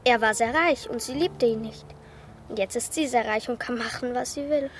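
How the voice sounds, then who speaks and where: A woman's voice: fairly high pitch, moderate pace, a little hiss or hum, close to an everyday voice. A woman playing a frank, stubborn young girl giving plain answers in a radio drama. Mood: insistent.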